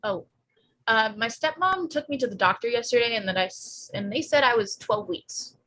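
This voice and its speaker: A woman plays a sarcastic pregnant teenager in a screenplay read. Her voice sits a little high and rings very bright and forward. She is blunt and slangy, with a flippant deadpan edge. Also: normal speed. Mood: offhand. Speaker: actor in a live table read.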